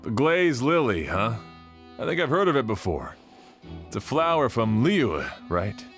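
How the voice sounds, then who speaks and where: A man's voice: mid-range voice, big pitch swings, measured pace, a bit bright, faint music behind. An actor voicing a blunt male official in a game who questions the explanation and organises his men. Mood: curious. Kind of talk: game voice acting.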